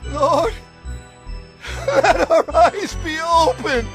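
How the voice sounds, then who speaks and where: An actor playing a desperate blind beggar in a Bible audio drama, crying out and pleading for mercy. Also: male voice, very high voice, big pitch swings, very slow, fairly bright tone, faint music behind, exaggerated voice. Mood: imploring.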